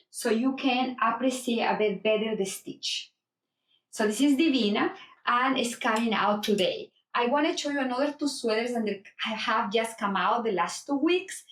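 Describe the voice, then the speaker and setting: A woman from El Salvador, a knitwear designer presenting her work in simple, phrasing. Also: fairly high pitch. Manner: non-native phrasing, simple direct sentences. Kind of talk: casual spoken presentation. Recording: roomy, from a distance.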